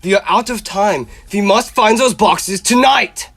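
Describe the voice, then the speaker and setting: High-pitched, urgent vampire hunter played by a man. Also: measured pace, a bit bright. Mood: grave urgency. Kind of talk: radio drama acting.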